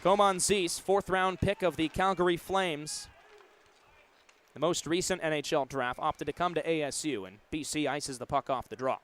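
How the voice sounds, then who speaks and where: A man's voice: on the high side, moderate pace, fairly bright tone, soft background music. A man in his twenties doing live radio play-by-play of a hockey game in clipped, vivid running narration. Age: 20s. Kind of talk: live radio sports commentary.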